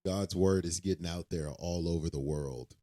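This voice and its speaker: A man's voice: low voice, moderate pace, dark tone. A young man co-hosting a casual faith-based podcast, earnest and reassuring, often repeating himself as he works through a thought. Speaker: podcast co-host.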